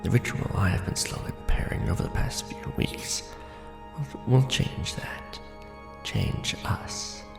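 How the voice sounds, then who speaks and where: A deeply gravelly, courtly vampire lord acted out by a man murmurs in a theatrical ASMR roleplay. His low delivery is formal, deliberate and breathy, with a brooding, possessive air. The voice sits in a roomy echo with music playing behind it. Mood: solemn, assured. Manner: formal, hushed, deliberate, theatrical aristocratic address.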